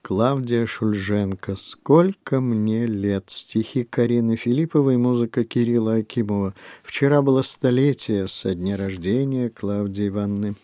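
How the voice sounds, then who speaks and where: A man presenting a radio programme in a calm, measured announcer manner. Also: mid-range voice, no echo. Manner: measured, even announcer delivery.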